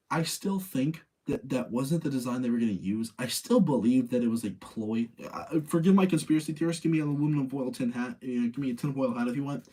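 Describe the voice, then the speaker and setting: Male host, mid-pitched with some huskiness, conversational and opinionated, speaking informally as if chatting with his audience. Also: dark tone, slightly rough, poor audio. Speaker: host of a live ranking show about video game movies. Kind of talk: casual chat, giving opinions and replying to listeners.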